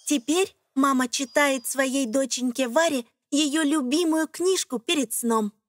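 High-pitched woman narrating for children. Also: measured pace, no echo, studio quality. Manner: simple explanatory phrasing addressed to children. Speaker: narrator of an educational children's cartoon.

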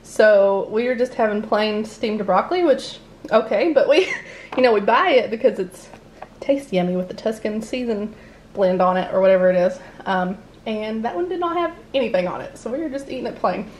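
A chatty woman talks through her groceries at the dining table, laughing easily and drifting into asides. Her pitch sits in a middle range. A faint room hum is heard behind her. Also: lively intonation, moderate pace. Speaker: family vlogger. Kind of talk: casual grocery haul chat. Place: home dining room, indoors.

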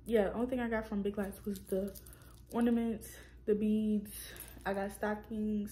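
A woman's voice: mid-range voice, warm tone, slight background noise. Chatty young woman rambling to camera. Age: young adult. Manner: rambling, self-correcting, chatty with viewers. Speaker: vlogger. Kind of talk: casual chat to camera. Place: small room at home, indoors.

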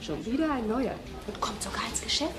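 Actress as a high-voiced cheeky teenage girl. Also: animated, brisk, a crowd in the background, close to an everyday voice. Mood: giggly, sly. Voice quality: bright, childlike. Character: cheeky teenage shop girl, about seventeen. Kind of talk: German radio drama acting.

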